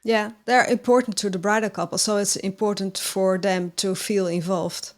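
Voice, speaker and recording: A woman's voice: mid-range voice, fairly even intonation, normal speed. Friendly woman interviewer, mid voice. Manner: friendly, encouraging questions. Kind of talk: interview host.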